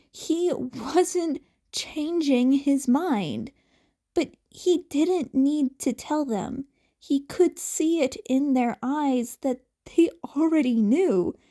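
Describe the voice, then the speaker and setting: A woman reading scripted fan fiction as an expressive story narrator. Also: high-pitched.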